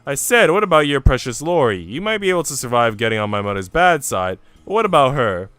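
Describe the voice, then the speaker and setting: Man voicing a haughty, animated young noblewoman. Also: medium pitch, fast talker, clean tone, no echo, faint music behind. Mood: threatening. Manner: imperious, taunting. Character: cruel, haughty young noble lady, smug bully.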